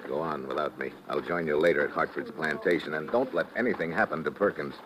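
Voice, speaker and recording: An American actor playing a tough, suspicious secret agent, terse and commanding, in a 1950s radio drama. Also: male voice, very deep voice, fast, rough recording. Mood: urgent, commanding. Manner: terse, hard-boiled, commanding. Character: tough, suspicious male secret agent narrating his adventure. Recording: old radio broadcast with loud music.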